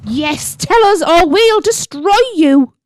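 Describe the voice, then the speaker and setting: A woman's voice: very high voice, lively intonation, takes it slowly, a little hiss or hum, pitched above the performer's own voice, heavily acted. A woman voicing a fierce, unhinged witch villain, threatening and demanding. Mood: menacing anger.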